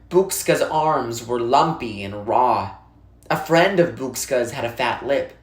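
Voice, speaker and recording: Slow, echoey storybook narrator. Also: mid-range voice. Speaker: narrator reading a book aloud. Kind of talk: expressive children's novel read-aloud. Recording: roomy, echoey sound.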